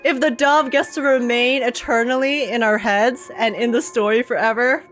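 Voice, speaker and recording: A woman streamer reacting live to a game in an excitable, dramatic, giggly and exclaiming way. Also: a little high, moderate pace, faint music behind.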